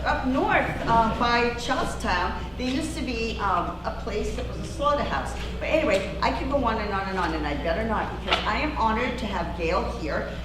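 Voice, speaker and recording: A woman hosting a community event and speaking loudly to an audience, chatty and rambling, with many fillers. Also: on the high side. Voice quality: loud voice. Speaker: event host and diver. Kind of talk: casual public speech to an audience. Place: large echoey hall with an audience. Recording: echoey hall, voice from far off, faint noise.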